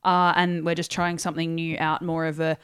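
Young woman podcast host, chatty, laughy, smooth clear voice, dry close mic. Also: low-pitched, level tone, fairly quick, dry recording. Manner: chatty, laughs often, many fillers. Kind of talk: casual chat. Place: home studio at night.

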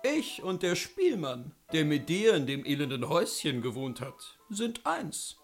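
Guy doing a proud stern fairy tale king, pitched way up, swoopy and slow, music under it. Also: sing-song, creaky, exaggerated voice. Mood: revealing, reassuring. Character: proud male king posing as stern husband. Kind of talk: fairy-tale audiobook character voice.

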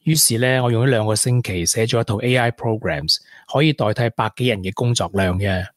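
A man's voice: mid-range voice, fairly even intonation, a little fast, no echo, natural voice. An actor as a regretful Hong Kong man, dry close sound. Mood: confessional. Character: regretful young ex-tech prodigy, confessing to a woman. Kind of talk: Cantonese radio drama acting.

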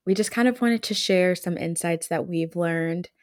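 A woman in her twenties co-hosting a podcast, chatting in a relaxed, reflective way and laughing often. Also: fairly low pitch, moderate pace, no echo, studio quality. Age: 20s. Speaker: podcast co-host. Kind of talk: casual chat.

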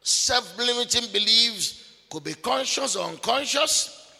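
A man preaches in a very high, gravelly voice, pressing each point home with repetition and sudden shouts. His sermon rings out with the long reverb of a large hall. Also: animated, unhurried. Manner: emphatic, repetitive, bursts of shouting.

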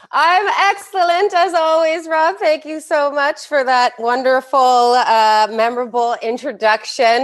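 A woman from Canada co-hosting a podcast as its mindset coach, bantering in a playful, upbeat way. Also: on the high side. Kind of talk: casual banter.

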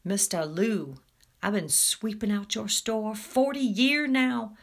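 A woman's voice: medium pitch, slow pace. A woman voicing a muscular, swaggering teenage male bully with a taunting, jeering manner in a storybook reading. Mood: jeering imitation.

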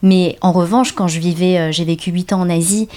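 A woman's voice: lowish voice, fairly even intonation, fairly quick, warm tone. A woman from the Paris suburbs talks earnestly in a casual conversation. She tells her own experiences with animation, often restarting her sentences. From Paris suburbs, France.

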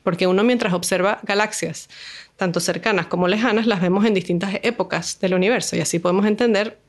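A woman with a Venezuelan Spanish accent speaks at an ordinary middle pitch. She is a guest in an interview. She explains things patiently, one step at a time. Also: quick pace. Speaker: astronomer, guest.

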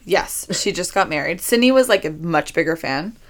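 A woman's voice: on the low side, animated, normal speed. A young woman co-hosting a podcast in casual chat, talking loosely with lots of "like" fillers. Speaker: podcast co-host.

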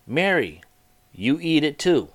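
A man's voice: on the high side, very animated, slow pace, heavily acted. Man voicing an evil, commanding walking corpse. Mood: commanding, menacing. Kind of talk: spooky folk tale storytelling.

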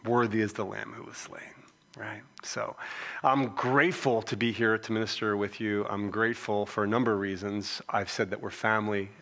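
A man's voice: on the low side, level tone, moderate pace, gravelly, a bit bright. A middle-aged man from Philadelphia with a Philadelphia accent, a pastor giving a conversational sermon introduction with frequent restarts. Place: church sanctuary.

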